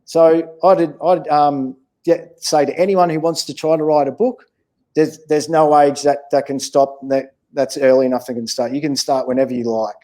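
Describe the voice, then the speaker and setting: A man's voice: fairly high pitch, fairly even intonation, normal speed, warm tone. A man with an Australian accent answering questions in a casual, encouraging, plain-spoken way as a children's author. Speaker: children's author, interview guest.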